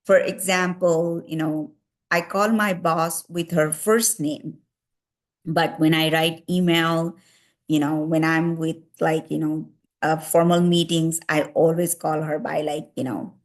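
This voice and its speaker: A woman in her thirties speaking English with a Nepali accent, her voice sitting a little low. She gives casual, friendly tips straight to the viewer, filling her sentences with "you know" and "like.". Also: fairly even intonation, takes it slowly. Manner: heavy use of fillers "you know" and "like". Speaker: vlogger giving advice. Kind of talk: casual advice talk.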